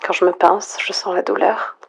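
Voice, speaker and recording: A woman playing a lonely, frightened last survivor, murmuring a halting, introspective audio log in a breathy, husky near-whisper. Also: fairly low pitch, flat delivery. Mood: searching, pleading. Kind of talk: hushed monologue, analog horror audio log.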